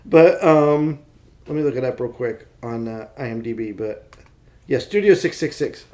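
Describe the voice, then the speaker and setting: A man's voice: medium pitch, expressive, moderate pace, mellow. A man co-hosting a podcast in casual chat, speaking in an enthusiastic, opinionated and informal way. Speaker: podcast co-host.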